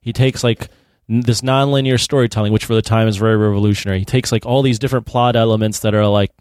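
A man's voice: lowish voice, steady tone, fast, darkish tone, no room sound, clean studio sound. A man co-hosting a film podcast in casual chat, acting out reactions and peppering his talk with "like". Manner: animatedly quotes imagined reactions, says 'like' often. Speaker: podcast co-host reviewing films.

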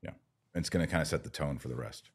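A man's voice: rumbling low, very fast, husky. A man hosting a podcast, giving conversational religious commentary with emphatic, repeated phrasing. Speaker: podcast host.

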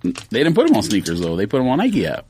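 A man's voice: on the low side, wildly swooping pitch, fast, a little mellow, breathy edge. A man co-hosting a podcast, chatting casually with short, offhand remarks. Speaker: podcast co-host. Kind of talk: casual chat.

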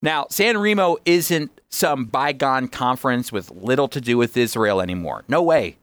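A man's voice: medium pitch, animated, normal speed, a bit bright, clear, resonant tone, dry recording. A man hosting a radio program, explaining his points emphatically in a teaching style and often answering his own questions. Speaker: radio host.

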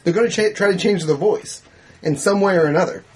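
A man's voice: high-pitched, a little fast, low-quality recording. A man chatting casually as a panelist in a group film discussion, speaking in an opinionated, emphatic way and swearing freely. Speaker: panelist on a movie discussion show. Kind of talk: casual group chat about films.

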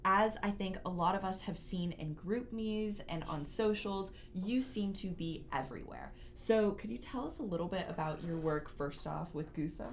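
A woman's voice: medium pitch, expressive, moderate pace, hardly any pauses, a little hiss or hum. A young adult woman hosting a student interview podcast, asking questions in a friendly, joking way. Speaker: podcast host. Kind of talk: casual interview.

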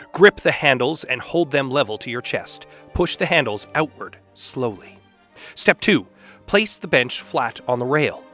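Guy doing a dramatic scripted read, mid pitched voice, music playing behind him. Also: fairly bright tone. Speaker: announcer and reader. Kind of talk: scripted narration, dramatic reading of ad and manual text.

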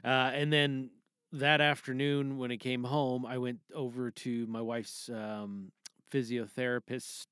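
A man's voice: medium pitch, flat delivery, measured pace, fairly bright tone, clean tone, no room sound, studio quality. A middle-aged man with a Canadian accent, hosting a podcast in a casual, rambling, conversational way from a home booth. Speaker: podcast host. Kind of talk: casual chat.

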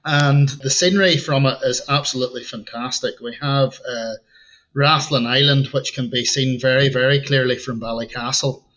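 A man's voice: medium pitch, steady tone, normal speed, a bit bright, dull audio. A man from Northern Ireland with an Ulster Scots tinge to his accent, chatting easily as a podcast guest. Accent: Northern Irish, Ulster Scots tinge. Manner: easygoing, chuckles often. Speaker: podcast guest, tour guide. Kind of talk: casual interview chat.

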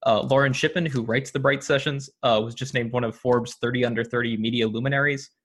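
Man, American accent, medium pitch, articulate, explanatory, casual interview guest. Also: fairly even intonation, fast, a bit bright. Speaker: podcast guest, audio drama producer.

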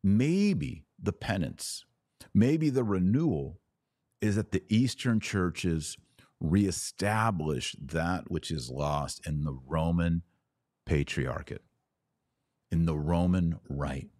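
A man with a deep voice speaks in a dry, close recording. He delivers an unscripted monologue as a religious commentator, emphatic and given to repeating phrases for weight. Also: expressive, slow pace, darkish tone, no room sound. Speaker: podcast host and religious commentator.

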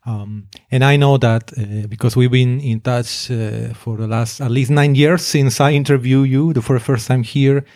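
A man's voice: medium pitch, fairly even intonation, normal speed, hardly any pauses, a little mellow, no echo. Guy hosting an interview, lots of yeahs and mm-hmms, dry close audio. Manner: encouraging, short affirmations. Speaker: interview host. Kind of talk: casual interview talk.

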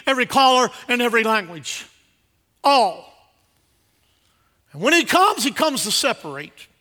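A man preaching a sermon to a congregation, exhorting emphatically with repeated phrases and swinging between quieter asides and loud declarations. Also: very high-pitched.

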